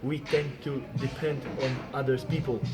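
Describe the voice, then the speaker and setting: A man with a Polish accent chatting casually and agreeably in halting, non-native phrasing. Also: mid-range voice, level tone. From Poland. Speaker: personal trainer, interviewer. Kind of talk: casual chat. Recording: loud music behind the talk. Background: loud instrumental music.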